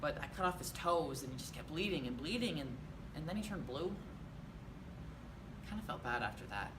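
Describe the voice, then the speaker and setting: A man's voice: a little high, big pitch swings, moderate pace. A young man acting a monologue as a disturbed, childlike young man who talks about terrible acts in a matter-of-fact, eerily casual way. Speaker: actor performing a monologue. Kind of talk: theatrical monologue. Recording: distant mic with clear background noise.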